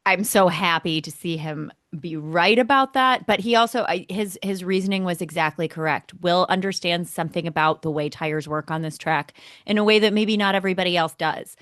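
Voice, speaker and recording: A woman's voice: on the low side, brisk, hardly any pauses, a bit bright, no echo, studio quality. A woman co-hosting a sports podcast, talking casually as a keen fan with emphatic repetition for effect. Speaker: motorsport podcast co-host.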